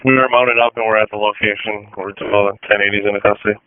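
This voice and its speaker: Clear-voiced man on tinny police radio. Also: fairly low pitch, little pitch movement, fast. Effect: two-way radio transmission. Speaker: police team member on radio. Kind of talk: brief radio status reports. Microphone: two-way radio handset.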